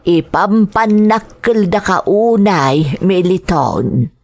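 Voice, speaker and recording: A woman voicing a loving older mother with an Ilocano accent, gentle and grateful, in an audio drama. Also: mid-range voice, takes it slowly. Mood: tender.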